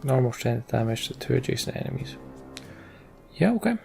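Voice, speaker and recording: Gravelly man muttering through a video game. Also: medium pitch, sing-song, normal speed, a little mellow, faint music behind. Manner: informal, sweary, sardonic asides. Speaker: let's play host. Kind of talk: casual gameplay commentary, muttering to self.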